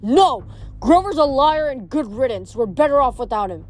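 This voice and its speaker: A woman's voice: on the high side, animated, normal speed. A woman voicing a very old, fat male satyr who is pompous and cowardly, talking in a huffy, blustering way and stammering when scared.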